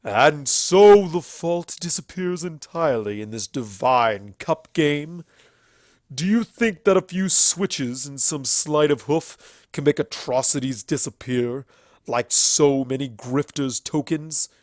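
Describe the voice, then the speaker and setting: A man voicing a bitter, ancient alicorn stallion in a storybook reading, declaiming with scorn and drawn-out emphasis. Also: fairly high pitch, lively intonation, darkish tone, dry recording, clean studio sound. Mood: scornful fury.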